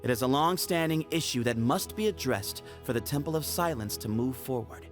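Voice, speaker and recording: A proud young temple leader, voiced by a man in game dialogue. He speaks at an even middle pitch, firm and formal. Faint music sits in the background. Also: a little fast, close to an everyday voice.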